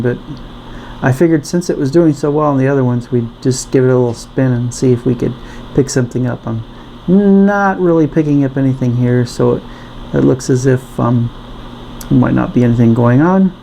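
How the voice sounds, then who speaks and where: A man casually demonstrating a product as a reviewer, thinking aloud in a halting way. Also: mid-range voice, gravelly, dark tone, a little hiss or hum, rough recording. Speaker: radio reviewer.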